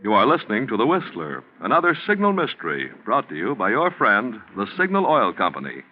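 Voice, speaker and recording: Very animated male radio announcer. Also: on the low side, brisk, faint music behind. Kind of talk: 1940s radio sponsor announcement. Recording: dull, noisy 1940s radio recording.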